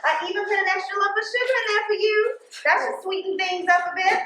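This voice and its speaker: A woman playing an anxious, proud, conflicted post office supervisor in a stage play, expressive and defensive. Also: very high-pitched, fast talker. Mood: playfully snippy. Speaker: stage actress. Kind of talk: live stage play acting, conversational dialogue. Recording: echoey theater stage room.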